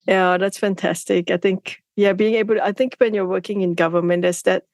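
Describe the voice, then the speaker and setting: A woman's voice: medium pitch, fairly even intonation, brisk, a little mellow, no echo, studio quality. A woman hosting a casual interview, encouraging her guest. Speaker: podcast host.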